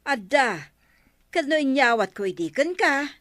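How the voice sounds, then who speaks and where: A woman with an Ilocano accent playing a brisk village woman who questions everyone, in an Ilocano radio comedy drama. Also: high voice, big pitch swings, fairly bright tone, no room sound, studio quality, exaggerated voice.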